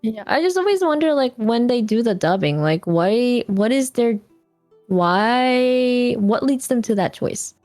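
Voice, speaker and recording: A woman's voice: fairly high pitch, animated, a little slow, a little mellow, no echo, faint music behind. A woman from Japan whose first language is Japanese, speaking English with a Japanese accent in casual, hesitant chat. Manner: hesitant, searching for words. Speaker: guest.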